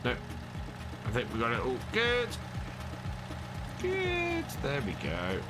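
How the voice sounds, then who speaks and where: A man's voice: mid-range voice, huge pitch swings, slow pace. A man casually chatting with his live chat while playing a farming game, thanking followers and answering questions as he plays. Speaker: streamer. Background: game music.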